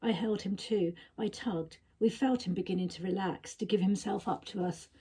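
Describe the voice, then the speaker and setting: A woman narrating a story expressively, with dramatic, measured phrasing that is often hushed. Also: mid-range voice, husky. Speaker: story reader. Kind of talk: expressive audiobook-style narration.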